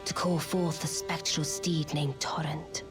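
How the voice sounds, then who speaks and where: Casting: aloof young witch for a fantasy game, low, breathy, courtly and archaic, slow delivery over music. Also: level tone, whispered. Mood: cool, courteous. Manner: measured, archaic courtly speech. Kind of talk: dramatic fantasy game acting.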